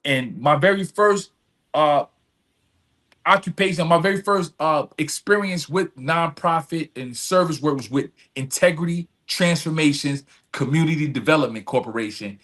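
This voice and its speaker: Male interview guest, voice a little high, speaks in earnest, emphatic, repetitive phrases. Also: normal speed, fairly bright tone. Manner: earnest, emphatic, repeats phrases, often checks with "right?". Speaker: podcast guest, community service advocate. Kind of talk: reflective personal storytelling in conversation.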